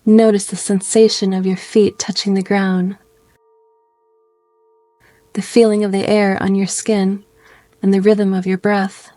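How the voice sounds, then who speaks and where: A woman guiding a meditation in a gentle, soothing way, speaking in slow phrases with pauses. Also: mid-range voice, little pitch movement, dry recording. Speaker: meditation guide. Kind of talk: guided meditation narration.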